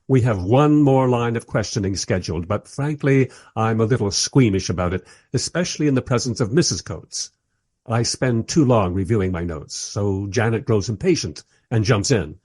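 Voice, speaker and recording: An actor playing a polite, squeamish documentary interviewer who narrates in a hesitant, wry manner in a radio play. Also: male voice, lowish voice, animated, normal speed, darkish tone, husky, no room sound. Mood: wry, uneasy.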